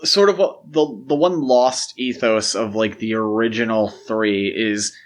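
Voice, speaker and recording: A man's voice: on the low side, measured pace. Man podcast co-host chatting casually. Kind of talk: casual film discussion.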